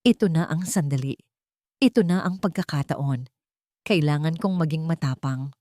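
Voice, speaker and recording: A woman narrates a dramatic story in the first person. Her voice sits low for a woman. The sound is dry, with very little room on it, and the delivery is clearly scripted storytelling. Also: moderate pace, mellow, dry recording.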